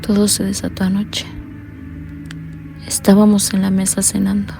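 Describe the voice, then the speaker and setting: An actress playing a blind young woman calling in to tell a frightening story, talking in a low, earnest, uneasy way. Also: monotone, moderate pace, creaky, over music, natural voice. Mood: anxious. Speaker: caller. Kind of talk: radio drama acting.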